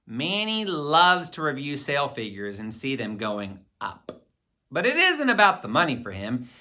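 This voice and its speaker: A man reading a children's story aloud in an expressive, boyish first-person narration. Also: medium pitch, moderate pace. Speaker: audiobook reader.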